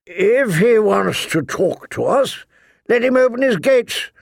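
A man performs a defiant knight in a fantasy audiobook, one of a lord's bannermen. His voice is pitched well up and insistent. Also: animated, takes it slowly, creaky, no room sound, clean studio sound, exaggerated voice.

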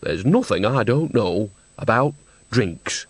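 A man's voice: lowish voice, big pitch swings, takes it slowly, dry recording, heavily acted. A man reading a storybook, voicing a kindly, cheeky old witch woman who talks in a folksy, wry way. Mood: boastful.

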